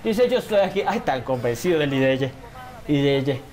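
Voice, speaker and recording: A young man giving casual, animated commentary on his football video game and reading viewer comments, often calling his audience "señores". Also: medium pitch, moderate pace. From Colombia. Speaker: gaming YouTuber.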